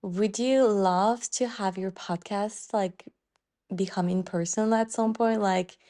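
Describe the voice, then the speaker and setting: A woman's voice: mid-range voice, fairly even intonation, slow pace, warm tone. A woman hosting an interview, asking questions loosely with many filler words. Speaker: podcast host.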